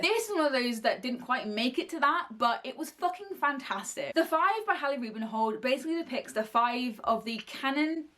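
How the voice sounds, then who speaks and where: Fast-talking young woman. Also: a little high, a bit bright. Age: early 20s. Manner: chatty and gushing, swears freely. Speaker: book reviewer. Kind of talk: casual book review to camera.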